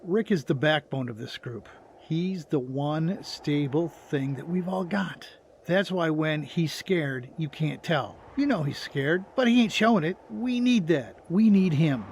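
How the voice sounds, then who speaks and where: A man's voice: fairly high pitch, slow pace, slight background noise. Actor as an earnest, blunt old man. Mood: firm, earnest. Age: older. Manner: plain-spoken, folksy, insistent. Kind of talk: motion comic voice acting.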